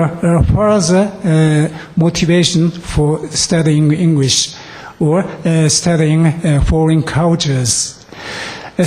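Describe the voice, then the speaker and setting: A man from Japan with a Japanese accent, giving a halting, formal and earnest speech in non-native English with frequent pauses. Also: a little high, a little mellow. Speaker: visiting student delegation leader. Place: council meeting room, public address.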